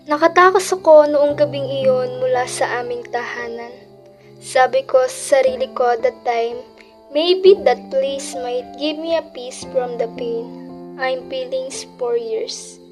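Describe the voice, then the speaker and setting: A woman's voice: high voice, measured pace, over music. A woman playing a regretful young woman who narrates her own past in a scripted radio drama. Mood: reflective.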